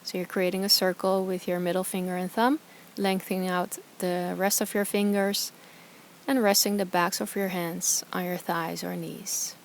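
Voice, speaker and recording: A woman guiding a meditation as a yoga teacher, speaking softly and soothingly in short, calm phrases with pauses between them. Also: fairly low pitch, a little hiss or hum. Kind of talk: guided meditation instruction.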